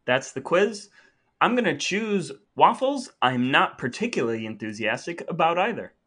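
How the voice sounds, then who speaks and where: Male podcast co-host, bright forward tone, casual storyteller. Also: fairly high pitch, big pitch swings, moderate pace.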